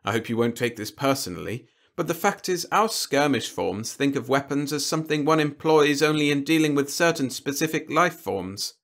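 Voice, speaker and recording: A man voicing a cool, sly diplomat in an audiobook, speaking in a measured, dryly formal way. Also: fairly high pitch, expressive, a bit bright, voice pushed up. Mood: dryly courteous. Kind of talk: audiobook character dialogue.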